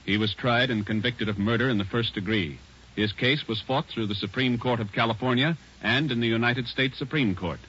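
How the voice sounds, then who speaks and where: A man's voice: lowish voice, pushed and bright, husky. A man reading scripted narration in a formal, matter-of-fact 1940s radio style. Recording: muffled old radio recording.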